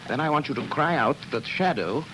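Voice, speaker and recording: An actor playing a calm, commanding gentleman detective in an old radio drama, speaking in a measured, authoritative way. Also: on the high side. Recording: noisy old radio recording.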